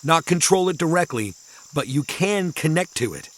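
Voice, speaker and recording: A man playing a grave, secretive professor in a kids' audio drama, speaking in a measured, cryptic and ominous way. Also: fairly high pitch, lively intonation.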